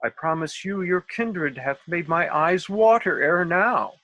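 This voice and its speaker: A man's voice: sits high, unhurried, a bit bright, no room sound, highs cut off. A man playing a foolish, self-assured but courteous rustic workman in a comic Shakespeare table read, with theatrical delivery over a video call.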